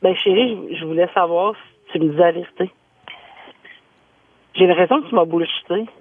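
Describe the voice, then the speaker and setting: A woman's voice: lowish voice, a little fast, dry recording. Québécois woman on the phone, accusing her partner, tinny line. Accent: Québécois French. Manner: indignant, accusing. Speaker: caller's girlfriend.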